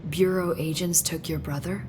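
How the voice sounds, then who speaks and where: A woman's voice: fairly low pitch, fairly even intonation, moderate pace, background noise, natural voice. An actress playing an inquisitive, analytical young female researcher in a video game, speaking in an articulate, matter-of-fact way. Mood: curious. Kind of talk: video game cutscene acting.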